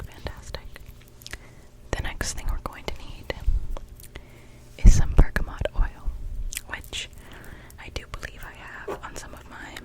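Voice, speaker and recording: A young woman playing a kindly mermaid witch in a whispered ASMR roleplay, gently attending to a customer as she brews potions. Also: high voice, pitched above the performer's own voice, low-quality recording. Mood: softly pleased. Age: young adult.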